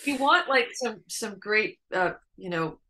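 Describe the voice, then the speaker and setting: A middle-aged woman singer-songwriter, a guest telling stories in a casual video-call interview, animated with a deep voice. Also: a little slow. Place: home room with red armchair.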